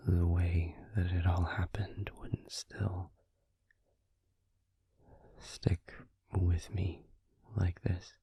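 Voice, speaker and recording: A man playing a gentle, supportive vampire boyfriend in an intimate ASMR roleplay, in a breathy, soothing whisper with pauses. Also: low-pitched, no room sound, full character voice. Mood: confiding, pained. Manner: soft, soothing, hesitant pauses.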